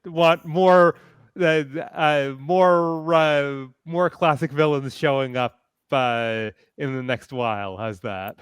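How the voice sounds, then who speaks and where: A man speaking as a panelist in a casual online discussion, with hesitant, measured phrasing. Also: fairly high pitch, smooth, no echo, studio quality. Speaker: podcaster and panelist. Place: online video call.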